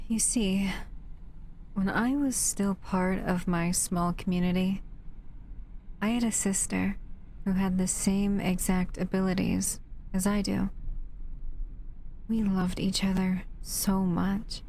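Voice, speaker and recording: A woman playing a gentle, protective shadow monster in an intimate audio roleplay, speaking softly and affectionately to the listener. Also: mid-range voice, steady tone, slow pace, vocal fry, pauses often, slight background noise. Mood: wistful.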